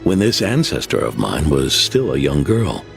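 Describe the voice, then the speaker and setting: A man's voice: very deep voice, big pitch swings, normal speed, vocal fry, full character voice. An actor playing a proud, elderly male innkeeper who tells old family legends and scolds skeptics. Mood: storytelling. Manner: grave, lecturing, theatrical. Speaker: guest house keeper. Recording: game audio with faint music.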